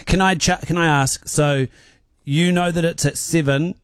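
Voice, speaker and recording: Casting: male talk host, lighter high-set voice, close dry studio sound, inquisitive. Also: steady tone, moderate pace, clean tone, no room sound. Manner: probing, questioning. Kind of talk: casual talk show moderation.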